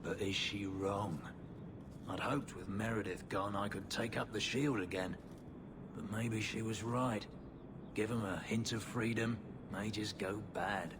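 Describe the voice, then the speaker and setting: Disgraced ex-knight for a game, played by a man: deepish, weary, wry and defeated, clear ambient noise behind. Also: steady tone, measured pace, lightly acted. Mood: resigned. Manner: sardonic, resigned. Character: bitter, world-weary disgraced male ex-knight. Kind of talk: dramatic video game acting.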